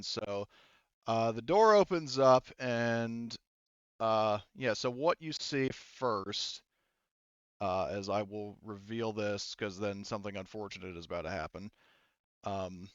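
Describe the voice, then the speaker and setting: A man running a tabletop roleplaying game as game master, narrating casually and haltingly with muttered asides. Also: medium pitch, smooth, no echo, highs cut off.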